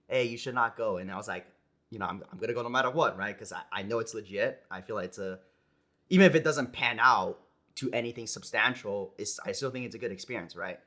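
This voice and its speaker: Bright-voiced young American man chatting. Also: mid-range voice, fast. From United States. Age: young adult. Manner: relaxed, rambling, many "like"s and "you know"s. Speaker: esports player guest. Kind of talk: casual interview chat.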